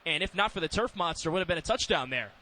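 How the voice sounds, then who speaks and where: A man calling live American football on radio as play-by-play announcer, in quick clipped phrases that can build in excitement. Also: fairly high pitch.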